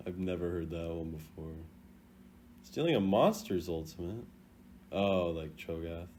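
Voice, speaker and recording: A young man giving casual, playful reaction commentary as a gaming video host, jumping between loud exclamations and low mutters. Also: unhurried, slight background noise. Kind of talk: casual reaction commentary ranking game voice lines.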